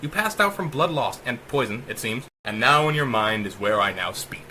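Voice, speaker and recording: Casting: male reader as sadistic, unhinged female computer, mechanical and teasing, sharp forceful tone, animated delivery, horror story. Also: mid-range voice, moderate pace, a little hiss or hum. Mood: gloating. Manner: taunting, mechanical tease, drawling. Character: cruel, mocking female AI, hateful and unhinged. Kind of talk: dramatic rhyming sci-fi horror audiobook.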